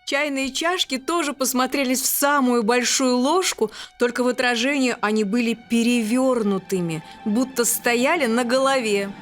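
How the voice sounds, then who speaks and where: A woman reads a scripted bedtime fairy tale as the storyteller. Her voice is fairly high, expressive and articulate, with music softly behind it. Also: moderate pace, hardly any pauses.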